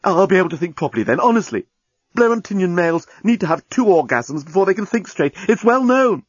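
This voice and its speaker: A man's voice: sits high, sing-song, quick pace, husky, dry recording, dull audio, voice pushed up, full character voice. A man voicing an amorous, single-minded male alien journalist in a comic audiobook, gushing and pleading. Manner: gushing, wheedling.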